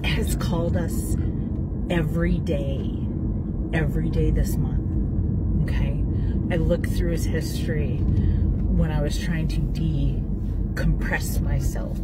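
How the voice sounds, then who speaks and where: A woman's voice: deep voice, low-quality recording. A woman in her thirties vlogging from her car, telling a story in a rambling, chatty way with many fillers and restarts. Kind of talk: casual car vlog storytelling. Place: parked or driving car. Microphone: phone on dashboard. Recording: loud car noise. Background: car and road noise.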